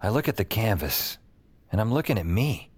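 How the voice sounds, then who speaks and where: Smartass young wizard for a fiction podcast, a bit deep, flippant and casual. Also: male voice, big pitch swings, moderate pace. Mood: wry narrating. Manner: flippant, wry, colloquial. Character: wisecracking, unruly young man with new magic powers. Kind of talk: audio drama acting and first-person narration.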